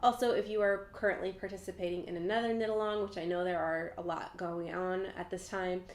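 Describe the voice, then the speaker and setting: A woman's voice: lowish voice, steady tone, a little fast. A woman hosting a casual knitting vlog, a bit nasal and stuffy from a cold, talking in a friendly, chatty way full of "like" fillers. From Southern California. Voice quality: slightly nasal. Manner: friendly and chatty, frequent "like" fillers and light laughs. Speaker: knitting vlogger, host.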